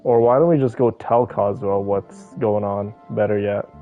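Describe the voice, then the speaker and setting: Man, mid-pitched, creaky, laid-back livestream chat, affectionate, soft music behind. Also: steady tone, moderate pace, narrow, tinny audio. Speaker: game livestreamer. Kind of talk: casual livestream chat and game commentary. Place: bedroom, on a bed. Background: soft game music.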